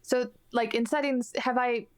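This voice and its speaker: A woman's voice: on the high side, steady tone, a little slow. A woman playing in a tabletop roleplaying game, chatting casually about her character and her choices.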